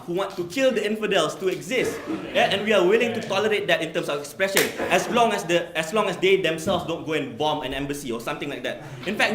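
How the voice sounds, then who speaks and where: A man's voice: on the high side, a bit bright, slight background noise. A young man from Malaysia giving a formal competitive debate speech, emphatic, repeating "we tell you" and filling gaps with "you know". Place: debate hall. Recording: echoey hall.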